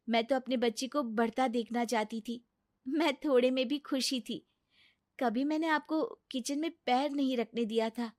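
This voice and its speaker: A woman voicing a gentle, polite young daughter-in-law in a cartoon story. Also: fairly high pitch, no room sound, studio quality, voice pushed up, natural voice. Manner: polite, soft, earnest.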